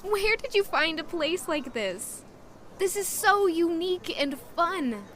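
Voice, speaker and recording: A woman playing a shy, self-doubting young woman who was once an orphan, in an audio drama. She also narrates in the first person and talks in a soft, modest, hesitant way. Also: very high voice, lively intonation, takes it slowly, exaggerated voice. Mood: unsure. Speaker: narrator and lead character.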